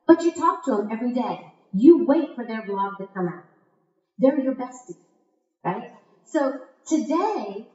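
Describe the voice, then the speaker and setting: A woman speaking to a conference audience like a theatrical storyteller, with rhetorical questions and emphatic pauses, in a very echoey, muffled recording. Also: fairly high pitch, warm tone. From Croatia. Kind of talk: marketing keynote to a live audience. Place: indoor conference stage.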